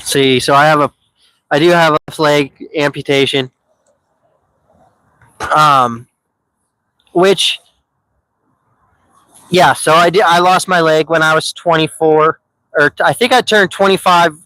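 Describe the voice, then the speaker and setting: Bright, candid man in his thirties. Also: on the high side, fairly even intonation, a little slow, long pauses, clean tone, dry recording, rough recording. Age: 30s. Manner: candid, rambling, many fillers and restarts. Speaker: live streamer. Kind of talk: casual live-stream storytelling.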